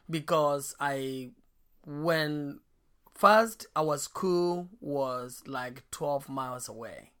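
A Kenyan man, a pastor guest, telling his life story in a halting way, with many restarts and fillers. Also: medium pitch, fairly bright tone. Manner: slow and halting, with many restarts and you knows. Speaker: pastor and ministry founder, guest. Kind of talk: interview storytelling.

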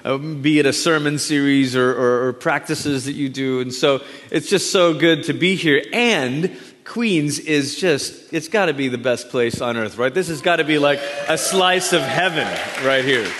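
A man's voice: on the high side, moderate pace. Man from Malaysia preaching in a reverberant church. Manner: warm, conversational, chatty asides. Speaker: guest preacher. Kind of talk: sermon introduction. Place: large church sanctuary. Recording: long hall reverb.

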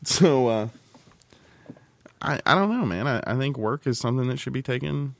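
A man's voice: medium pitch, expressive, normal speed, vocal fry. A man chatting casually as a podcast co-host, with a rambling, folksy and teasing way of talking. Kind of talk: casual chat.

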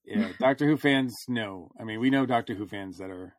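A man's voice: fairly low pitch, moderate pace, a loud crowd. A man talking casually as a podcast host, rambling a little as he thinks aloud. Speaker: podcast host reviewing comics. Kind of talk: casual chat.

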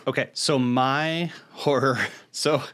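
A man's voice: medium pitch, steady tone, slow pace, a bit bright, dry recording. A man co-hosting a podcast in casual chat, with a joking, self-deprecating manner. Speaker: podcast co-host.

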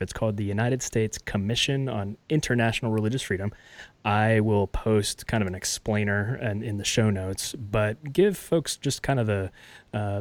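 Low-voiced male podcast host. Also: no echo. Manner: casual, hesitant with many fillers. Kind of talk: podcast interview.

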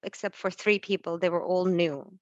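A woman speaking as a guest in a conversational interview, chatty and animated and full of questions. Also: lowish voice, normal speed, no room sound. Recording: dull, muffled recording.

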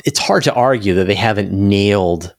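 A man's voice: lowish voice, moderate pace, dry recording, studio quality. A man talking conversationally as an interview guest, explaining things at length and restarting phrases as he reasons aloud. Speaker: interview guest, space news commentator.

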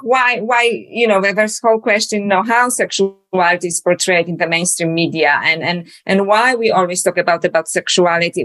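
A woman's voice: lowish voice, a bit bright. A woman from Poland with a Polish accent, speaking as a guest author in a podcast interview, reflective and searching, with many fillers and restarts.

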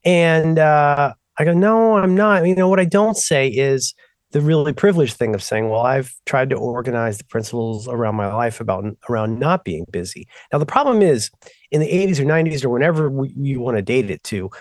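A middle-aged man co-hosting a podcast, telling long digressive stories and breaking off to restart his sentences. His pitch swings widely as he talks. The sound is close and dry, with no room echo. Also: fairly quick. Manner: rambling, self-interrupting, animated storytelling. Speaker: podcast co-host. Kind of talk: casual chat.

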